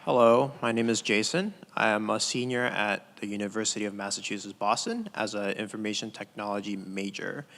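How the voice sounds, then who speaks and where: A man's voice: on the low side, a little fast, hardly any pauses, fairly bright tone, a faint crowd. A young man in his twenties, a college senior, introducing himself on a panel in a plain, slightly hesitant way. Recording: hall reverb with faint noise.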